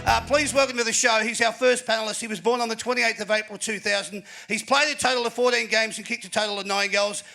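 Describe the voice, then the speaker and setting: A high, gravelly Australian man hosts a live footy panel show in front of a crowd. He sounds bright and energetic, building up each introduction like an announcer, and he fills the gaps with plenty of ums. His voice sits high for a man. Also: fast talker, hardly any pauses, faint music behind. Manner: energetic announcer, talks with many fillers. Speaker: host. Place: live venue before an audience.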